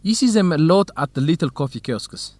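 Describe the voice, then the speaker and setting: A hospitable young man in a radio drama. His pitch moves in a very lively way as he explains things helpfully, recorded dry and close. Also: fairly quick, no echo. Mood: helpful, friendly. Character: warm, hospitable young Ethiopian man, student researcher. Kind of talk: scripted educational radio drama dialogue.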